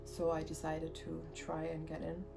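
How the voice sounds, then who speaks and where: A woman's voice: sits low, monotone, normal speed, vocal fry. A woman in her twenties from Germany, a fashion student giving plain, matter-of-fact interview answers. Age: 20s. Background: music.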